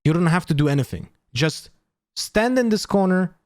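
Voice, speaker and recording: A man's voice: sits high, moderate pace, no echo. This is a man going over game footage, talking things through as they occur to him. His voice rises and falls a great deal and he drops swear words casually. The sound is close and dry, with no room around it. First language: Polish. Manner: animated, swears freely, thinks aloud. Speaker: esports analyst streamer. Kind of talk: casual VOD breakdown.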